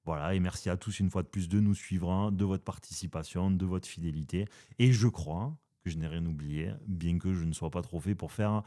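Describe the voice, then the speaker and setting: A man's voice: low-pitched, fairly quick, hardly any pauses, dark tone. A man with a southern French accent hosting a casual philosophy podcast, hesitant with many fillers and playful asides. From southern France. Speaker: podcast host.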